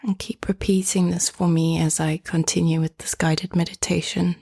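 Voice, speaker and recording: A woman hosting and leading a guided meditation in a soft, hushed, often half-whispered voice, with gentle and inviting phrasing. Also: fairly low pitch, little pitch movement, moderate pace, vocal fry, dry recording, clean studio sound.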